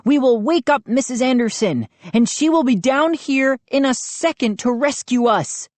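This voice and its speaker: A woman's voice: fairly high pitch, unhurried, warm tone, dry recording, voice pushed up. A woman voicing an anxious but daring young boy in a storybook, with an urgent, exclaiming delivery. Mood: defiant.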